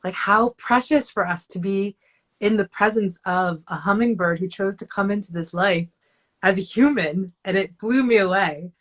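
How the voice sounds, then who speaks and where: A woman hosting a podcast interview over a video call, talking in an effusive, heartfelt way and often trailing off softly. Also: mid-range voice, normal speed. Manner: warm, effusive, trailing into softer asides. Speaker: podcast host. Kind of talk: casual interview.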